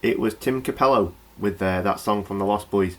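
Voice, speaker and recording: A man's voice: lowish voice, normal speed, fairly bright tone. A man with a British accent playing a flustered, nervously apologetic local radio presenter who stammers and rambles in a comedy horror radio play. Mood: nervously chatty.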